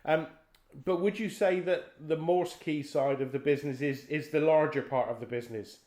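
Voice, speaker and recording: A man's voice: fairly high pitch, moderate pace, darkish tone. A middle-aged man interviewing someone in a conversational style. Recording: echoey room sound.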